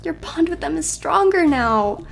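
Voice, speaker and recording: A woman voicing a bright, teasing, familiar star companion from a video game. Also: high-pitched, expressive, unhurried, vocal fry, pitched above the performer's own voice, full character voice. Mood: chirpy, coaxing. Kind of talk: video game character voice read aloud.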